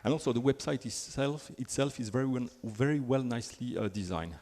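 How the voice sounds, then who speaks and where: A man's voice: mid-range voice, brisk, noisy background. French-accented man presenting in a hall. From Belgium. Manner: chatty, self-deprecating, frequent fillers and restarts. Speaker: conference speaker. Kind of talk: technical conference talk. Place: large conference hall. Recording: hall with long reverb.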